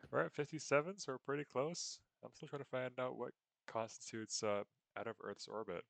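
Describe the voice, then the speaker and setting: A young man co-hosting a casual podcast, easygoing and quick to laugh. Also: medium pitch, gravelly, no echo. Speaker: podcast co-host. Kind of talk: casual chat.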